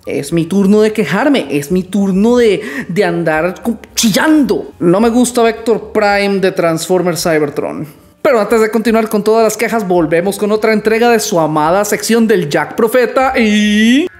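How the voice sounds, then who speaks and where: A man in his twenties with a high voice, talking in a casual, rambling and playfully boastful way in a toy review vlog, recorded at home on a studio mic. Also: lively intonation, a little slow. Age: 20s. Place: small room at home. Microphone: studio mic on stand in front.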